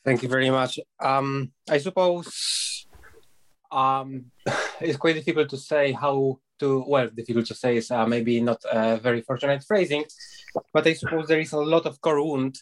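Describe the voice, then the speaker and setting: A man's voice: medium pitch, steady tone, normal speed, low-quality recording. A man from Poland, a guest in a reflective discussion, speaking in a thoughtful, hesitant way as he searches for words. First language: Polish.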